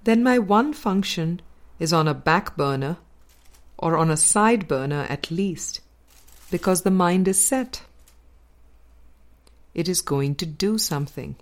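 Slow, low-voiced woman reading aloud. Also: animated. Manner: measured, deliberate phrasing. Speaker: audiobook reader. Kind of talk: scripted narration of a spiritual book.

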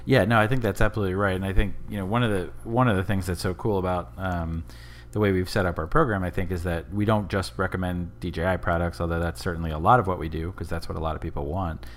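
Male podcast co-host, very low pitch, brisk conversational delivery, light noise behind. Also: steady tone.

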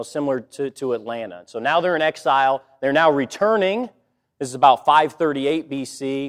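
A man preaching a sermon, slightly hoarse from a cold, talking in a conversational way with many fillers and restarts. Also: medium pitch, a little mellow. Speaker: pastor.

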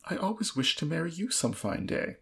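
Man acting a plaintive, husky middle-aged gentleman suitor. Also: fairly high pitch, sing-song, brisk, voice pushed up, full character voice. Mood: lovesick. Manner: melodramatic, plaintive. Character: lovelorn, flabbergasted, gloomy social-climbing Victorian gentleman, middle-aged.

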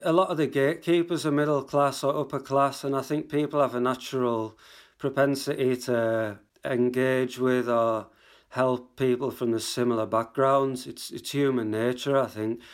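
A man, a writer, speaking in a calm, measured way in an interview. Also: medium pitch.

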